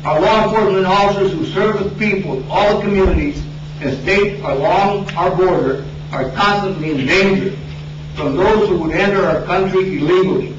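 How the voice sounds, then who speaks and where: A man's voice: high-pitched, normal speed. A man, an army veteran, giving an earnest prepared statement at a news conference. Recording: echoey, distant, dull, noisy.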